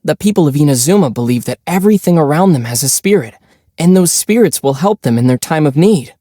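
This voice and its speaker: A man voicing a calm, gentle young traveler hero in a video game, speaking thoughtfully with mild teasing. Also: fairly high pitch, dry recording, studio quality. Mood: calm, explanatory. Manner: measured, thoughtful, mildly teasing. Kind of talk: video game character voice lines.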